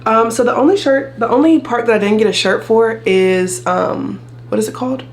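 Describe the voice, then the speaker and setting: A woman's voice: fairly high pitch, normal speed. Chatty young woman vlogger, noisy background. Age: young adult. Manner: chatty, rambling, says y'all a lot. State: voice deeper than usual after losing it. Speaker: vlogger, nursing student. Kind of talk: casual chat to camera. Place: coffee shop and car. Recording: noisy background with air conditioning.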